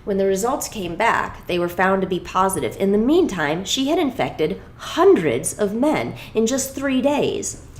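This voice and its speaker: A woman reading a first-person exposé as dramatic scripted narration, in a deliberate, emphatic and rhetorical manner. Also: mid-range voice, big pitch swings. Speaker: reader of a memoir exposé. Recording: echoey room sound.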